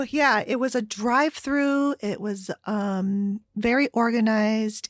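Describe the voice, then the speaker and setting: A middle-aged woman with an American Midwestern accent chatting casually and haltingly as a solo podcast host, meandering through her stories. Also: medium pitch, no echo, clean studio sound. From Chicago area, United States. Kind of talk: casual solo chit-chat.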